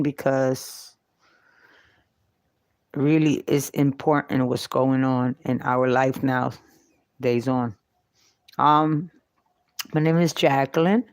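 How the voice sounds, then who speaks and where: A middle-aged woman with a New York Puerto Rican accent, telling her life story off the cuff in short, halting phrases with long pauses. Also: sits low, a little mellow, dry recording. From Puerto Rico and New York. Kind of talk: unscripted personal storytelling.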